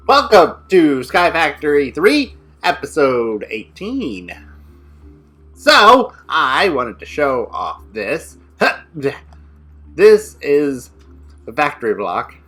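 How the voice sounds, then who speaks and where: A man's voice: on the high side, very animated, sharp tone, husky, soft background music. A young man giving casual commentary as he plays a building game with a friend, talking himself through each step. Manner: rapid thinking aloud while building. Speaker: co-host playing a modded game.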